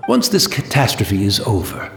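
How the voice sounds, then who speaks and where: An actor playing a gentle, blind old tea master in a video game, humble, resigned and content, with a courtly, rambling way of talking. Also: male voice, on the low side, wildly swooping pitch, moderate pace, gravelly, roomy sound, soft background music, full character voice. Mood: resigned, serene. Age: older. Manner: courtly, deferential, rambling and wistful.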